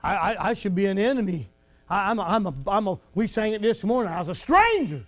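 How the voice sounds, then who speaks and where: A man preaching a folksy sermon to a congregation, joking and laughing between lines and breaking into shouts at his high points. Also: big pitch swings, fairly quick.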